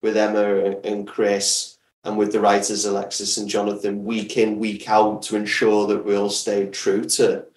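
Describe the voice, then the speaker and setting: A man's voice: fairly low pitch, monotone, a little slow, hardly any pauses, darkish tone. Echoey, distant man with narrow intonation. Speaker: podcast guest, audio drama producer. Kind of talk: casual interview chat. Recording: echoey room, distant from mic.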